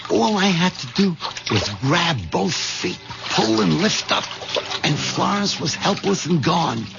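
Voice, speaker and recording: An actor playing a timid, hapless henpecked husband with a nervous, hesitant way of talking, in a 1970s radio drama. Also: male voice, high-pitched, lively intonation, measured pace, creaky, music in the background, full character voice. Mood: rueful narrating. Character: timid, squeamish henpecked husband plotting murder, narrating. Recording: noisy, dull old radio broadcast.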